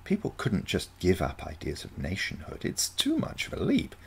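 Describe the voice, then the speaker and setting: A man's voice: low-pitched, huge pitch swings, moderate pace, vocal fry, fairly bright tone, a little hiss or hum, exaggerated voice. A man voicing a baffled, sceptical grandparent in a storybook, questioning and incredulous. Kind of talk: storybook character dialogue.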